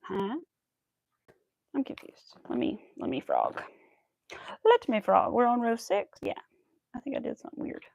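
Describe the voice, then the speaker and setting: A woman's voice: on the high side, wildly swooping pitch, a little slow, creaky, pauses often, a little mellow, no room sound. An American woman in her early thirties chatting casually and in a rambling way on a crafting livestream. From United States. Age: early 30s. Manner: chatty, rambling, counts stitches aloud. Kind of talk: casual livestream chat while crocheting.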